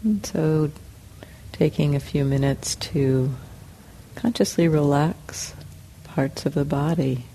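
A woman teaching a guided meditation in calm, measured short phrases with long pauses between them. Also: low-pitched, slight background noise, poor audio. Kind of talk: guided meditation, slow spoken instructions.

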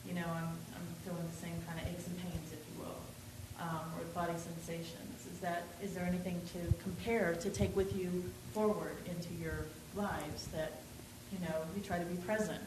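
A woman's voice: on the low side, level tone, normal speed, hardly any pauses, noisy background. Rambling woman asking in an echoey hall. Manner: rambling, searching for words, many restarts. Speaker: student asking a question. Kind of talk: questions in a meditation class. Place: meditation center hall.

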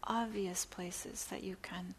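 Slow, hushed woman guiding a meditation. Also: lowish voice, fairly even intonation. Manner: soft, breathy, near-whispered delivery; gentle, unhurried, with long pauses. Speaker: meditation teacher. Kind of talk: guided meditation. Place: meditation center. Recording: clear background hiss.

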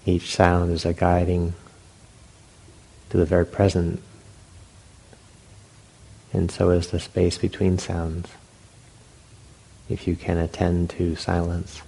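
A man leading a guided meditation as a teacher, speaking gently and unhurriedly in short phrases with long pauses. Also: low voice, completely flat delivery, creaky, husky, poor audio.

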